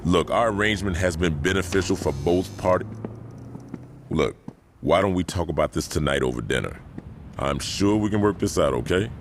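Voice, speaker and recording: An actor playing a commanding, aging gang boss who talks in a slow, deliberate, menacing way in a video game cutscene. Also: male voice, deep voice, a little hiss or hum. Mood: placating.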